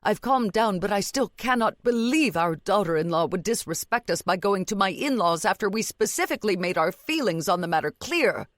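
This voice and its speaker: A woman voicing a stern mother-in-law in an audiobook, coolly angry, calm and measured. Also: mid-range voice, no room sound, clean studio sound, voice pushed up, close to an everyday voice. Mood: cold reproach. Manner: cool, calm, measured, menacing.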